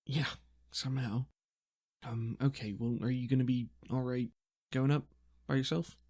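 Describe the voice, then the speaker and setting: A man voicing an anxious woman in a tabletop game, hesitant, unsure and halting. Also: mid-range voice, little pitch movement, a little mellow, lightly acted. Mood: uneasy, caring.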